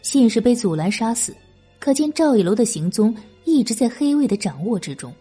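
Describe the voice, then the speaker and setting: A woman narrates a historical audiobook. Her voice is fairly high and very expressive, with a mellow, slightly husky tone. Music plays behind her. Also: fairly quick. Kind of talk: dramatic scripted narration of a historical novel.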